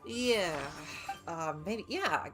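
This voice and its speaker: A woman's voice: medium pitch, expressive, extremely slow. A woman livestreamer giving casual gameplay commentary, chatty and musing aloud. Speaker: game livestreamer. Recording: game music behind.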